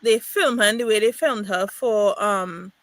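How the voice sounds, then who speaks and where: A woman's voice: medium pitch, normal speed, no echo. A woman chatting bluntly and emphatically about a reality show. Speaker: panelist.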